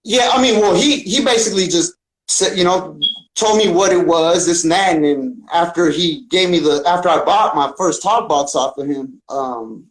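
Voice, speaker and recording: Male podcast guest, musician type, voice on the higher side, casual and streetwise slang, unpolished and profane. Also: normal speed, low-quality recording. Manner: slangy, profane, often says "man" and "bro". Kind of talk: casual conversational interview.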